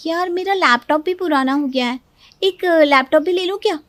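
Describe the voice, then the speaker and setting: Woman acting expressive high-pitched young daughter-in-law. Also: normal speed, dry recording. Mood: eager. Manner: expressive, complaining and pleading. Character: young working daughter-in-law, lively and easily upset. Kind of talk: cartoon story dialogue.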